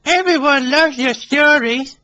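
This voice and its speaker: A man voicing an eager, polite young male tank engine in a storybook, with a high, keen voice. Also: unhurried, bright, forceful tone, heavily acted. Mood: cheerful. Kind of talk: storybook reading.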